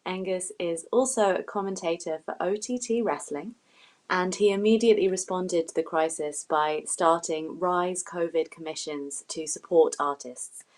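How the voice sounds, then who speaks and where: Woman hosting, slightly low voice. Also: moderate pace. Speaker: show host. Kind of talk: live online interview presenting.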